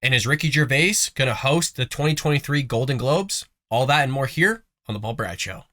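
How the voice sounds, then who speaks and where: A man's voice: medium pitch, lively intonation, a little fast, sharp tone. A man hosting a political commentary show, talking casually with folksy, sarcastic asides while congested from a cough. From United States. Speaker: host of a conservative news show.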